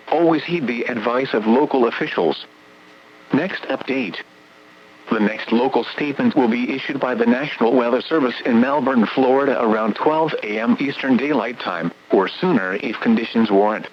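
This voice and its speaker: A man's voice: mid-range voice, normal speed. A synthetic American male voice formally reading a scripted emergency weather bulletin over weather radio, impersonal like an automated announcer. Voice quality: synthetic text-to-speech voice. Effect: weather radio broadcast. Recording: muffled, noisy weather radio reception.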